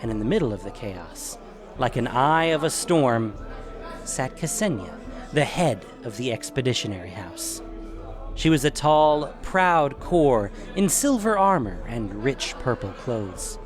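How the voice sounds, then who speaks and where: Male narrator for fantasy audio fiction, very lively intonation, deliberate phrasing, music beneath. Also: medium pitch. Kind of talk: dramatic scripted story narration.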